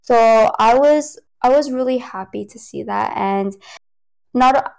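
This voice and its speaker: Casting: young woman, laid-back slangy guest, a bit high voice, laughs a lot, cantonese native. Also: slow, gravelly, darkish tone, smooth, low-quality recording. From United States. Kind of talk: casual chat.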